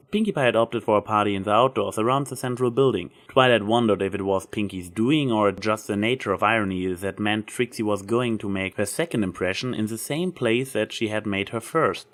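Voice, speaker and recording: A man's voice: on the low side, a little fast, few pauses. A man reading scripted fan fiction as the story's narrator.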